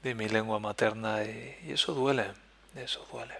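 Soft-spoken Spanish man, gravelly voice. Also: on the low side, steady tone. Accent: Spain Spanish. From Spain. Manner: soft-spoken, introspective, halting. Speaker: podcast host. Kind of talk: personal reflective monologue. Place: living in Germany.

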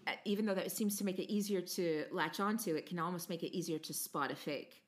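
A woman's voice: fairly low pitch, brisk. An American woman co-hosting a podcast, chatting casually, laughing often and slipping into playful mock accents. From United States. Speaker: podcast co-host.

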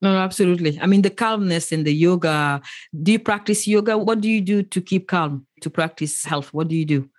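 A woman's voice: on the low side, brisk. A woman hosting a podcast interview, effusive and enthusiastic as she asks questions. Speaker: podcast host.

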